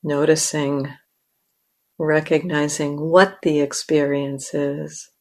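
A woman meditation teacher leading a guided meditation in short, measured phrases with long pauses. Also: sits low, flat delivery, darkish tone.

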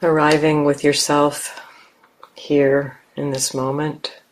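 A woman leading a guided meditation as a teacher, speaking gently in simple, unhurried phrases with long pauses. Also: low voice, level tone, low-quality recording.

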